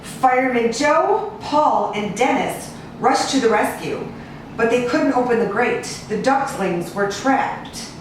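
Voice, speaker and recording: A distant, very reverberant recording with some noise carries an American woman's voice. She reads like a children's storyteller, expressive and sing-song, in a fairly high voice and at an unhurried pace. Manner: expressive, sing-song listing with drawn-out repeats. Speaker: animal cruelty investigator and rescue agent reading to children. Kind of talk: children's storybook read-aloud. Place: echoey indoor room, away from the mic. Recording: distant, very echoey room with hiss.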